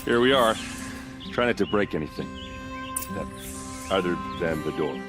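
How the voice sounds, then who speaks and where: An actor playing a gruff, wry and earnest warrior in a video game. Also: male voice, sits low, expressive, normal speed, over music. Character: gruff, wry warrior man, grieving and loyal.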